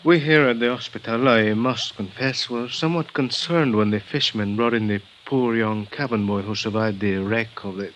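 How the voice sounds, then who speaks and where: An actor playing a grave, formal Mexican hospital doctor, speaking in a measured, halting way in a 1950s radio drama. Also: male voice, fairly low pitch, a bit bright, natural voice, low-quality recording. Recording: old radio broadcast with faint hiss.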